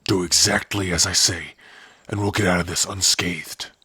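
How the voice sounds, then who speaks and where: Man voicing a deep, husky orc wanderer. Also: fairly even intonation, moderate pace, pushed and bright, dry recording, exaggerated voice. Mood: wary, hushed. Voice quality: gruff, raspy. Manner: easygoing, wry, addressing a companion directly. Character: rough, kind-hearted male orc outcast, protective wandering adventurer. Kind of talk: ASMR fantasy roleplay acting.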